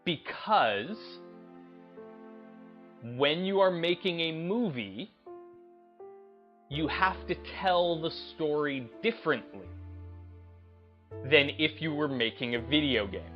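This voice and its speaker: A man giving off-the-cuff opinion commentary on a gaming channel, speaking in halting, emphatic short bursts. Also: a little high, expressive, lo-fi sound, soft background music.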